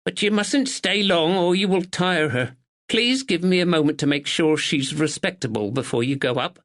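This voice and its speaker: A man's voice: on the high side, animated, moderate pace, a bit bright, voice pushed up. A man voicing an anxious middle-aged village woman with a dialect who cares for her mother. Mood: nervous, polite. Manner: timid, dialect "were".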